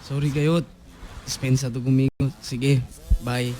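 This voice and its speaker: A man's voice: medium pitch, level tone, normal speed, warm tone, lightly acted. A man with a Zamboanga Filipino accent playing an apologetic, hesitant suitor in an improvised comic radio drama. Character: apologetic, stingy suitor inviting a woman to dinner.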